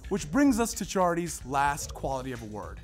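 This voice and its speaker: A man performing a scripted narration in a readers theater style, with emphatic, deliberate phrasing. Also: a little high, big pitch swings, soft background music. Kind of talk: scripted narration, staged recitation.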